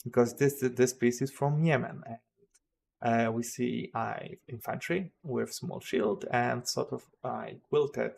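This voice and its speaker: A man's voice: medium pitch, steady tone, takes it slowly, creaky, a little mellow. A man with a Polish accent, a native Polish speaker, hosting a casual livestream and rambling hesitantly with many fillers and restarts. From Poland. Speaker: modding livestream host.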